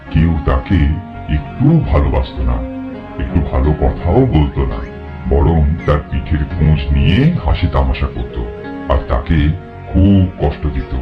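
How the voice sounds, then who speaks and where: A man's voice: a bass voice, phone-quality sound. A man narrating a fairy tale from a script as the storyteller. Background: plucked guitar music.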